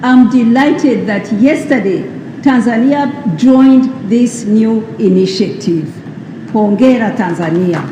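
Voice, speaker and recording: A woman from Uganda giving a formal public speech, slow and emphatic, posing rhetorical questions. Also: fairly high pitch, mellow, echoey room, big-room sound, a little hiss or hum, low-quality recording. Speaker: speaker at a World AIDS Day event. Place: large hall at a public ceremony.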